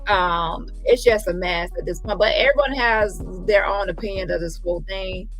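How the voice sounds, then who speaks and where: A woman's voice: medium pitch, moderate pace, faint music behind, rough recording. A woman hosting a casual livestream commentary, talking emphatically in a rambling way and often correcting herself. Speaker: livestream host.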